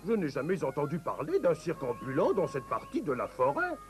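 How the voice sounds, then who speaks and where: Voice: mid-range voice, wildly swooping pitch, a little fast. A cautious, earnest cartoon dwarf. The delivery swings expressively up and down as he admonishes, over faint music. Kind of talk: French-language cartoon dub acting.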